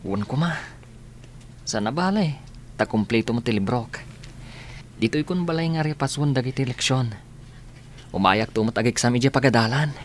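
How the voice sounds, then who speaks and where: A man's voice: mid-range voice, normal speed, long pauses, slight background noise, close to an everyday voice. A man playing a dutiful, determined, sickly young student in a radio drama, speaking in an earnest, reassuring way.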